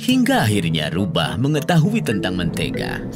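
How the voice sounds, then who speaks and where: A man's voice: on the low side, huge pitch swings, moderate pace. A man narrating a scripted children's story as the storyteller. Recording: music behind the voice. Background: music.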